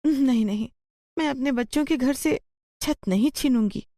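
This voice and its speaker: A woman's voice: high-pitched, moderate pace, mellow, dry recording, studio quality, voice pushed up. A woman voicing a poor, troubled village mother in a moral story, pleading and sorrowful. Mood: worried. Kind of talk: acted dialogue in a Hindi moral story.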